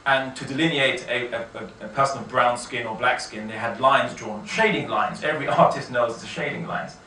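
A man giving an informal autobiographical talk, telling his story in a halting, self-correcting, conversational way. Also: medium pitch, fairly even intonation, bright, forceful tone. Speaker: speaker giving a personal testimony. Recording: echoey room, distant mic, faint noise.

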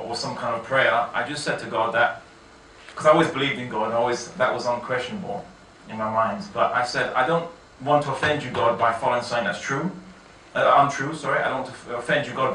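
Man in an echoey room, bright voice. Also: medium pitch. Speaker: speaker giving a personal testimony. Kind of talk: informal autobiographical talk to an audience. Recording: echoey room, distant mic, faint noise.

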